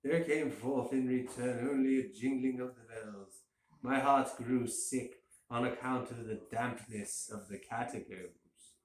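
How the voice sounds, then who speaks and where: A man's voice: medium pitch, fairly even intonation, a little slow. A man giving an amateur dramatic reading of a gothic horror story. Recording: roomy echo, faint hiss.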